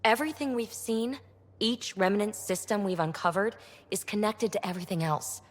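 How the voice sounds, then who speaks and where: An actress playing a daring, caring scientist-explorer in a video game, speaking in an informal, earnest, expressive way. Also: female voice, mid-range voice, moderate pace, in a large hall, lightly acted. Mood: earnest, keen. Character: daring, caring woman scientist-explorer, excitable about ancient technology.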